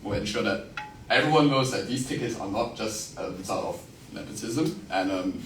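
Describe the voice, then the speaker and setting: A man's voice: lowish voice, animated, normal speed, fairly bright tone, far from the mic, soft background music. A young man in his twenties from Singapore, with a British-leaning Singaporean accent, giving a wry, self-mocking campaign speech and answering questions with many fillers. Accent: Singaporean-tinged British English. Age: 20s. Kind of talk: joking election speech, then answering questions. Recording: echoey room, from afar, noisy.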